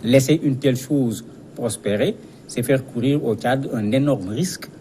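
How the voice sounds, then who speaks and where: Man, mid pitch, guest analyst, didactic explanation, repeated words while searching for phrasing, news interview, faint background noise. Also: measured pace, mellow.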